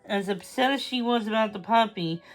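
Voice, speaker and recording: Woman reading a children's story over soft music. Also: mid-range voice, normal speed, low-quality recording. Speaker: storybook reader. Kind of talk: read-aloud children's story narration.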